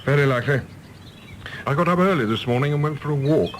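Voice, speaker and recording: An actor playing a modest, wistful gentleman in a radio drama, polite, understated and reflective. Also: a little high, quick pace, slight background noise, natural voice.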